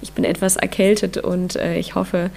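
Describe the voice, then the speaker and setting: A woman hosting a podcast, reading an intro and then chatting with a guest, her voice hoarse from a cold. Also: lowish voice, flat delivery, moderate pace, darkish tone, slight background noise. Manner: upbeat, with many fillers. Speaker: podcast host. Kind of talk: interview podcast, scripted intro then chat.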